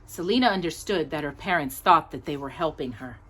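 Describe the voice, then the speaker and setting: Female storytime reader, husky tone, lively intonation, expressive emphasis for a picture book audience of children. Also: sits low. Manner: animated, emphatic storytelling with pauses on key words. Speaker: storytime host reading a children's book aloud.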